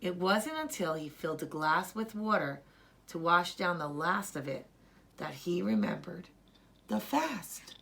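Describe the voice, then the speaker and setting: A woman narrating a children's story as a storyteller, with deliberate, expressive delivery. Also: fairly low pitch, gravelly.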